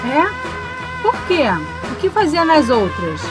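A woman plays a devoted girlfriend who keeps gently probing, in an audio drama. Her voice is fairly high, with some music underneath. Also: very animated, takes it slowly, full character voice, low-quality recording. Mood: tender, probing.

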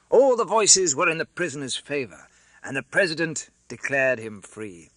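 A man narrating a classic novel as an audiobook, with a theatrical, expressive delivery. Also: medium pitch, no echo.

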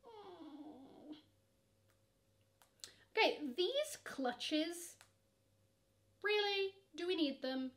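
A woman's voice: extremely high pitch, animated, very slow, pauses often, a bit bright. A young woman chatting through luxury online shopping, sassy and gushing, calling bags "she" while seated at her camera. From England. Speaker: fashion vlogger. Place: bedroom with shelves of shoes.

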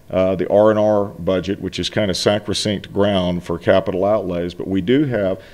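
A middle-aged man, seated at a meeting table and speaking into a desk microphone, delivers a formal report to a board. His voice sits on the low side. He talks earnestly and with emphasis, and he restarts his phrases now and then. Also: darkish tone. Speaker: college finance officer.

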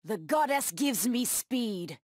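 A woman's voice: a little high, expressive, takes it slowly, darkish tone, dry recording, studio quality. An actress voicing a proud, bloodthirsty cat-woman huntress villain in a video game, with menacing, taunting delivery.

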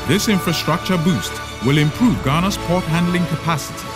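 Male ad narrator, polished, slightly high, lively pitch, loud music bed. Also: normal speed. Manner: polished promotional delivery. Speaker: advertisement narrator. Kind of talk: scripted ad voice-over.

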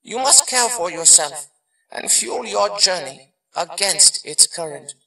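An actor playing a wise old martial arts master spirit in an audio drama, speaking in a measured, formal, teaching manner. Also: male voice, high-pitched, animated, vocal fry, pushed and bright, echoey room, recorded from a distance, exaggerated voice, low-quality recording. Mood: grave, caring.

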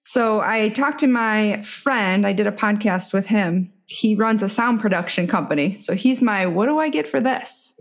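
A woman's voice: mid-range voice, expressive, moderate pace, few pauses. A woman hosting a fitness business podcast, chatting casually and asking her guest questions. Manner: chatty, asks questions with brief affirmations. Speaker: podcast host. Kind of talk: casual interview chat. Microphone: home podcast mic.

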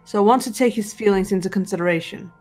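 A woman playing a composed, serious teenage girl in an anime game fan dub, speaking in a measured, deliberate way. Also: mid-range voice, a little mellow, soft background music, natural voice. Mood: serious, resolute. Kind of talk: visual novel fan dub voice acting.

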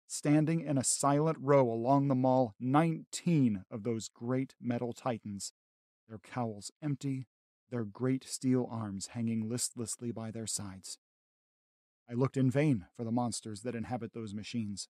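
A man plays an educated survivor of an invasion in a radio play. His mid-pitched voice is solemn and measured, and the sound is close and dry. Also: warm tone, no room sound, lightly acted. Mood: solemn, haunted. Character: grave, educated male survivor recounting an alien invasion. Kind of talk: dramatic radio narration and acting.

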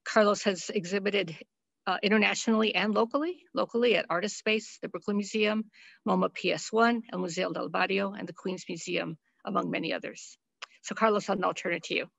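A woman curator moderating a video call panel, reading an artist's introduction in a measured way. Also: medium pitch, fairly even intonation, gravelly, no room sound, highs cut off.

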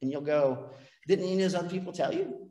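A middle-aged priest preaches in a relaxed, chatty way, slipping in self-deprecating asides. His voice sits a bit high for a man. The sanctuary adds a long reverb behind him. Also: fast talker. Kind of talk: sermon. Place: church sanctuary, behind a pulpit.

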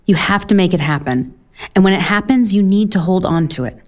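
A woman's voice: mid-range voice, expressive, fairly quick, a little mellow, natural voice. An actress playing an earnest, self-deprecating woman author in a romantic comedy audio drama, frank, rambling and profane. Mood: earnest, confessional.

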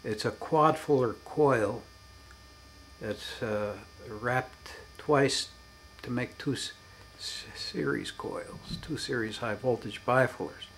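A man giving an unscripted demonstration as a hobbyist, in halting, broken phrases with emphatic stresses. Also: medium pitch, fairly bright tone, a little hiss or hum, low-quality recording. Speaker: hobbyist demonstrating his electronics experiments.

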